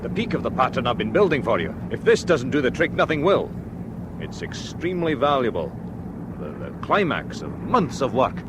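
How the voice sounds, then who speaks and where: A man's voice: mid-range voice, sing-song, moderate pace, background noise, close to an everyday voice. An actor playing a bitter, proud male lab technician who boasts resentfully in a radio drama. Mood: urgent. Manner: resentful, boastful, rising to intense bursts.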